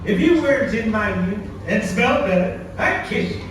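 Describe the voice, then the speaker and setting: High-voiced, commanding penguin leader, played by a man. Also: animated, moderate pace. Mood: brisk. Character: brisk male penguin commander, scheming and military. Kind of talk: scripted puppet show character acting. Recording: echoey, distant, faintly noisy venue.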